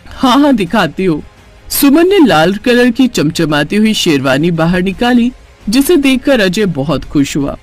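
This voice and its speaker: A man's voice: high voice, very animated, a little slow, faint music behind. A man reading scripted narration for a cartoon family story.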